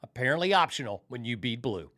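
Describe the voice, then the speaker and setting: A man's voice: mid-range voice, sing-song, fast talker, sharp tone. A middle-aged American man from Tennessee hosting a sports podcast, speaking with emphatic showmanship in upbeat intros and interview chat. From Tennessee, United States.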